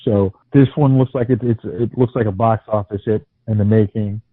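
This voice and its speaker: Male guest voice, lowish, dry, hesitant with frequent restarts, tinny phone-like sound. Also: fairly even intonation, moderate pace, no room sound. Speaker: podcast co-host. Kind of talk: casual movie podcast chat.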